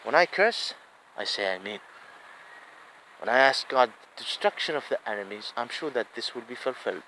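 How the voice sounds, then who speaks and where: A man's voice: mid-range voice, animated, normal speed, pushed and bright, a little hiss or hum, low-quality recording. A man with an Arabic accent giving a heated solo monologue, repeating himself and mixing in Arabic phrases. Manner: heated, repeats words, switches between English and Arabic.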